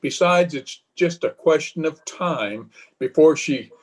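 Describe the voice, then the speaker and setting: Philandering husband, played by a man, bitter and weak-willed: husky voice, widely swinging intonation, old-time radio melodrama. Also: a little high, lively intonation, slow. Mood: pleading, infatuated. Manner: melodramatic, agitated. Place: remote recording over Zoom.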